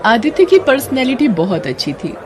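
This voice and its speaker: High-voiced woman narrating a story. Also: big pitch swings, moderate pace. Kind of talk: scripted cartoon story narration.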